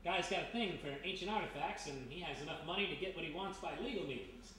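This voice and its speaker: A man's voice: a little high, quick pace, natural voice. A man playing a breezy, roguish, slangy private detective in a live old-time radio play. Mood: cocky. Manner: slangy, cocky. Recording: echoey live hall, distant mic, faint noise.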